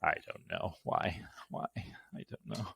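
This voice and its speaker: A man's voice: slow, background noise. A middle-aged man rambling casually through a product unboxing in a goofy, grumbling, mildly profane way. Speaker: unboxing video host.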